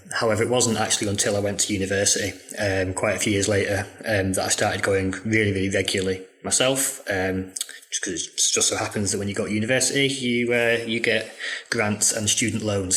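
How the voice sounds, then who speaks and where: A man's voice: lowish voice, fairly bright tone. A man, a football journalist talking hesitantly with many ums in an interview. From Manchester, England. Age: 30s. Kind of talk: casual interview talk.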